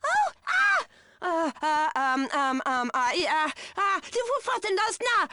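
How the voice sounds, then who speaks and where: A shrill, fiery gibberish-babbling mother. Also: very animated, slow pace, dry recording, full character voice. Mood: frantic, shouting. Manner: babbles nonsense words, sputters and shouts. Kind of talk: cartoonish audio drama character acting.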